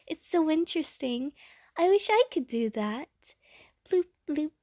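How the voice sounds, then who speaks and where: A woman's voice: high voice, expressive, measured pace, a little mellow, dry recording, clean studio sound. A woman voicing a friendly, bubbly, naive female water slime who rambles chattily to the listener in an audio roleplay. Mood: wistful, warm.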